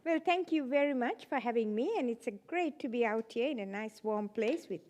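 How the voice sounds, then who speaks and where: A woman's voice: fairly high pitch, expressive, a little fast, a little mellow. A woman in her seventies from Sri Lanka, giving a chatty church talk with self-deprecating humour. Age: 70s. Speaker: guest speaker. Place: church hall.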